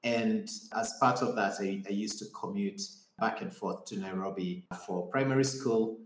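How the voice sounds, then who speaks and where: Deep-voiced man, roomy call. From Kenya. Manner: reflective, measured storytelling. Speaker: guest. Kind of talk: conversational interview answers. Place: home or office in Nairobi. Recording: roomy echoey remote call.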